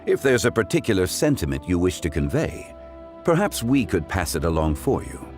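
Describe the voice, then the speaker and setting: A deep-voiced, wise gentleman of a fantasy game. He is calm and courteous, phrasing everything formally and eloquently, with expressive rises and falls over faint music. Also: normal speed. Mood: gentle, advising. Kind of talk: video game voice acting. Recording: music behind the voice.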